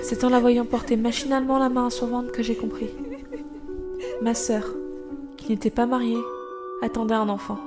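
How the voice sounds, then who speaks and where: An actress playing a devoted young elder sister in an audio drama, telling of her past in a measured, earnest, literary way. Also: fairly high pitch, level tone, music in the background, lightly acted. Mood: wistful, sober. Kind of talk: audio drama acting and storytelling.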